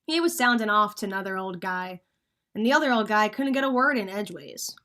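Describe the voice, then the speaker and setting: A woman's voice: mid-range voice, animated, a little fast, sharp tone. A woman playing a cocky, bossy crook who narrates and schemes in slangy hardboiled style in a radio play. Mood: narrating coolly. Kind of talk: vintage radio drama acting.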